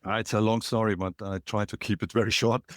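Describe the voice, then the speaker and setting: A middle-aged man from Germany with a German accent, a podcast guest calling in remotely and telling his story in a reflective, halting way with many fillers. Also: lowish voice, flat delivery, a bit bright, no echo, clean studio sound. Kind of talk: casual interview, storytelling. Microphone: remote call.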